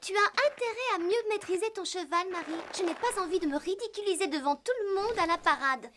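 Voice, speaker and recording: A woman's voice: very high-pitched, fairly quick, bright, forceful tone, slight background noise. A woman voicing a fretful, complaining young female character in a French-dubbed children's cartoon, with a dramatic, insistent delivery. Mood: grumbling. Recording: music behind the voice.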